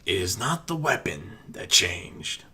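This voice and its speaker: Man as a calm, solemn, otherworldly mentor figure. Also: lowish voice, breathy edge, exaggerated voice. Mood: calm, reassuring. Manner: measured, solemn, deliberate.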